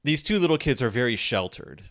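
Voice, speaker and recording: Animated man retelling a fairy tale. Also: mid-range voice, fairly quick, no echo, studio quality. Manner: conversational storyteller, quotes characters, asks leading questions. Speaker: podcast host.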